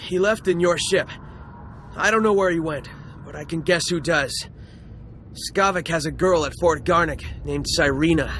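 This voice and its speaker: An actor playing a cowardly, anxious rebel soldier in a video game, pleading to survive. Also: male voice, a little high, moderate pace, a bit bright, a little hiss or hum, natural voice. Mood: nervous, bargaining.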